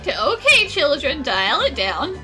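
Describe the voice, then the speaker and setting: A woman's voice: sits high, huge pitch swings, measured pace, loud music behind the voice. A woman commenting on a game as she plays, reacting casually in a wry, joking way. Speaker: gamer commenting on a playthrough.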